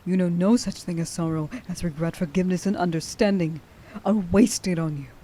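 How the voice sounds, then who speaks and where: A woman playing a stern, grieving huntress in a dramatic ASMR roleplay monologue, halting and intense in her delivery. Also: lowish voice, fairly even intonation, dark tone, natural voice. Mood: angry, agitated. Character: stern, grieving young huntress who hates werewolves.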